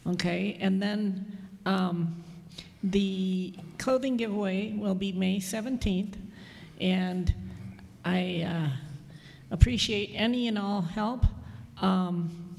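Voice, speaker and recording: A woman giving church event announcements in a halting way with fillers. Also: mid-range voice, creaky, a little mellow. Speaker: congregation member with announcements. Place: church sanctuary. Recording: long church hall reverb.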